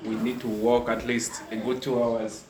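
A man's voice: mid-range voice, flat delivery, normal speed, crowd noise. A man from Zambia with a Zambian accent, speaking informally and politely as a visiting guest. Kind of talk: informal spoken testimony. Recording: echoey room with people around.

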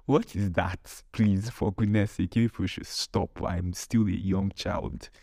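A very low-voiced man talks in a casual, humorous storytelling way. His voice has a gravelly creak and sounds close and dry. He hosts like a podcaster, slipping into acted-out bits of dialogue. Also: steady tone, a little slow, a little mellow, no room sound. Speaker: podcast host.

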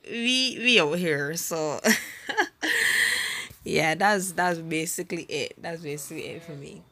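A woman's voice: deep voice, takes it slowly, sharp tone. A woman with a Barbadian accent, chatting hesitantly with giggles and fillers about her faith as co-host. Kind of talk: casual faith chat.

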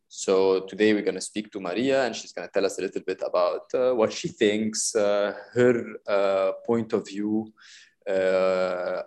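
A man hosting a casual interview, speaking haltingly with many filler sounds and restarts. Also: fairly low pitch. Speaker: podcast host. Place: Bali.

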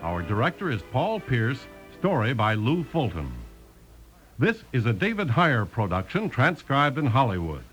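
A man's voice: medium pitch, sing-song, a little slow. An American man announcing formally in a projected 1950s radio style. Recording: old-time radio recording. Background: loud theme music.

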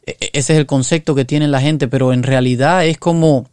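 A man's voice: mid-range voice, fairly even intonation, a little fast, darkish tone, smooth, no echo. Male co-host, earnest and teaching, recorded dry and close. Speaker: podcast co-host.

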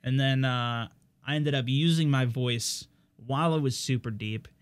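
A man's voice: medium pitch, moderate pace, smooth. Rambling young male streamer. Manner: rambling, restarts, lots of fillers. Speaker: streamer hosting his channel. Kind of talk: casual chat with viewers.

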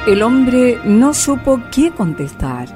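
A woman's voice: fairly high pitch, very animated, slow, over music. A woman narrating a radio drama as a solemn, sentimental storyteller.

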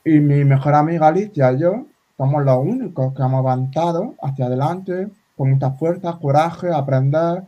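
A man's voice: on the high side, mellow. Man with a sign-language first language. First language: Spanish Sign Language. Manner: halting, with many restarts and fillers. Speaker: guest. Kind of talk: casual interview talk. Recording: slightly dull lo-fi sound.

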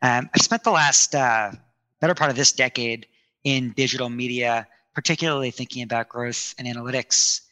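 A man's voice: medium pitch, normal speed, sharp tone, low-quality recording. A man from New Jersey, a company founder interviewed as a guest, talking in an earnest, reflective way. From United States, New Jersey. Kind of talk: casual interview chat.

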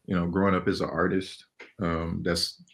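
A man's voice: deep voice, flat delivery, normal speed, creaky, fairly bright tone. A man in his forties who grew up in Los Angeles, an animation director talking casually as a guest and laughing often between loose, filler-filled answers. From Los Angeles, California. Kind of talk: casual interview talk.

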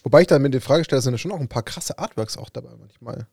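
Fast-talking podcast host man. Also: mid-range voice, a little mellow, slightly rough, dry recording, clean studio sound. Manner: quick, long winding questions with fillers. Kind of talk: casual conversation.

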